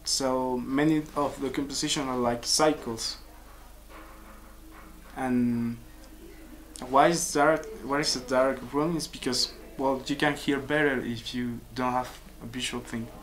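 A man's voice: mid-range voice, fairly even intonation, poor audio. Peruvian guy in his 20s, composer, keeps restarting sentences, small office, bit of hiss. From Peru. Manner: searching for words, halting restarts. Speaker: composer and musician. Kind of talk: casual interview answers. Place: small office with pictures on walls.

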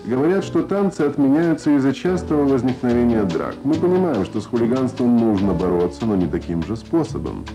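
Male radio reader, average pitch, formal Soviet broadcast delivery with music clearly behind. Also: sing-song, fairly quick, hardly any pauses, rough recording. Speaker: radio reader of listeners' letters.

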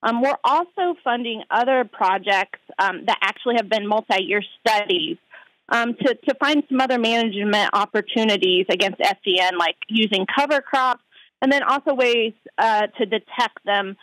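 A woman's voice: on the high side, moderate pace, fairly bright tone, no room sound, poor audio. Woman agronomist, dry close sound. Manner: hesitant, many fillers. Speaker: outreach agronomist guest. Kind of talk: interview by phone. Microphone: phone line.

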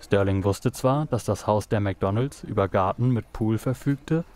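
A man's voice: lowish voice, a little slow, a little mellow. A man reading scripted narration for a noir audio drama.

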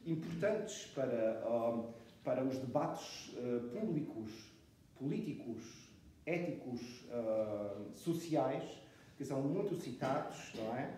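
A man's voice: mid-range voice, lively intonation, takes it slowly, mellow, very echoey, slight background noise. A man introducing a guest speaker at a lecture, hesitant with many fillers, recorded from far off in a small room. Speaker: event host introducing the speaker. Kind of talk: spontaneous introduction. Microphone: far camera.

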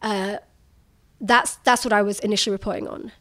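A woman in her thirties speaks as a guest journalist, forthright and emphatic. She talks at an ordinary pitch in a loose conversational flow, full of casual fillers, and presses hard on the points she cares about. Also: fairly even intonation, brisk, a bit bright. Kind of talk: candid conversational interview.

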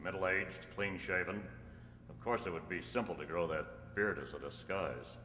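A man playing a calm, upright masked cowboy hero with measured, formal delivery, in old-time radio style on stage. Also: low-pitched, faint music behind. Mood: persuasive. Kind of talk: old-time radio drama acting read from script. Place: stage in a community hall. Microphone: stand microphone. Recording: echoey hall, humming background noise.

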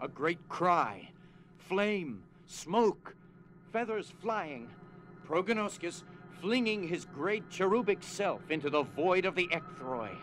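A man's voice: sits high, lively intonation, slow pace, a bit bright. Male storyteller narrating dramatically over music.

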